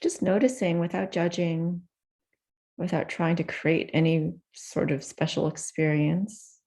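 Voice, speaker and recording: A woman guides a meditation in a lowish, gravelly voice with a lot of creak. She takes her time, speaking very slowly in short, gentle phrases and leaving soft pauses between them. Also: fairly even intonation.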